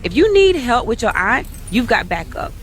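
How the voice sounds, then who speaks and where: Casting: cocky young woman wrestler in a game, higher-pitched, indignant, emphatic and brash. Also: sing-song, normal speed, soft background music. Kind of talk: video game cutscene dialogue.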